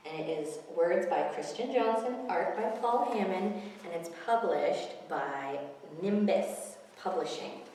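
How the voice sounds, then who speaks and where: A woman's voice: fairly low pitch, expressive, a little slow, hardly any pauses, a little mellow. A woman hosting a children's story time, reading picture books aloud with expression and chatting simply about them. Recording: very echoey, distant mic, faint noise.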